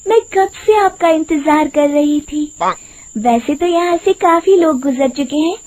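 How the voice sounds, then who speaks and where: A woman's voice: high voice, a little slow, a little mellow, voice pushed up. A woman voicing a sweet-talking, seductive witch in disguise, coaxing travellers in a horror cartoon. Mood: coaxing, flirty. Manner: honeyed, coaxing.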